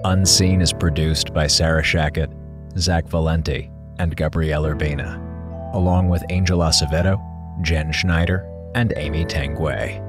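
A man's voice: extremely low pitch, fairly even intonation, a little slow. A man, a producer reading scripted podcast credits and addressing listeners. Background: music.

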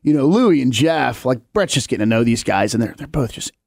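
Brief: male podcast guest, laid-back rambling chat, middling pitch, dry close sound. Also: fairly quick, creaky, dry recording. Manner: relaxed, rambling, with many fillers. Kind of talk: casual chat.

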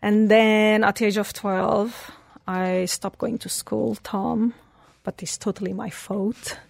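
A woman's voice: medium pitch, level tone, takes it slowly, creaky, no echo. A woman, telling her life story earnestly as an interview guest. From Portugal. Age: 40s. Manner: earnest, storytelling, slightly broken English phrasing.

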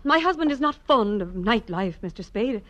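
A woman's voice: on the high side, lively intonation, normal speed, fairly bright tone, no echo, lo-fi sound. A woman playing a refined, troubled young wife with a polite, hesitant manner in a 1940s radio drama. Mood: troubled, earnest. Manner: polite, hesitant, earnest, genteel. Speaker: client in a radio detective drama.

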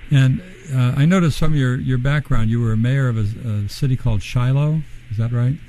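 Mellow-voiced radio host, hesitant. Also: male voice, mid-range voice, normal speed, poor audio. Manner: hesitant, many "uh" fillers. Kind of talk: radio interview.

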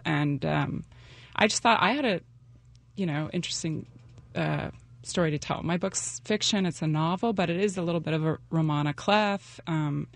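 Chatty woman author, slight fry. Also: low voice, dry recording. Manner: chatty, with fillers. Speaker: guest author. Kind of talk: casual interview chat.

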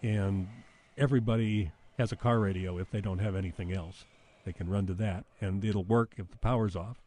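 A man's voice: sits low, fairly even intonation, normal speed, a little mellow. An American man explaining technical matters in an interview in a plain-spoken, informal way. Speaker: radio station chief engineer.

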